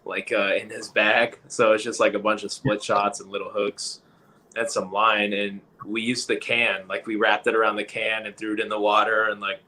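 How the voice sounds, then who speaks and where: Laid-back young man, low bright voice. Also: flat delivery, moderate pace, low-quality recording. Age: 20s. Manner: laid-back, laughs often, says "like" a lot. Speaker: podcast guest. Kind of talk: casual livestream chat.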